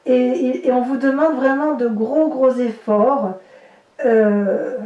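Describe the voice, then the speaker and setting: A woman with a fairly high voice talks a viewer through a tarot spread, improvising as she goes. She explains each point and restates it for emphasis. The sound is very roomy, with her voice some way from the microphone. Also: steady tone, slow, lo-fi sound. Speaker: tarot reader. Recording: roomy echo, distant from the mic.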